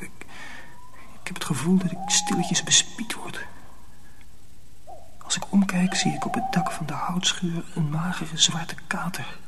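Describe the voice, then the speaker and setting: An actor playing an indignant, earnest young miller's apprentice boy in a radio drama, intense and often hushed and breathy. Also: a little high, natural voice. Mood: hushed unease. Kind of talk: radio drama dialogue and hushed first-person narration. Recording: noisy old radio play recording.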